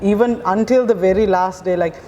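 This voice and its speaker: A woman's voice: mid-range voice, fairly quick, dark tone, lo-fi sound. A young woman from Sri Lanka, a medical graduate, chatting casually in an interview and filling her talk with "like". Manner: chatty, lots of "like" fillers. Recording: roomy reverb, faint background noise.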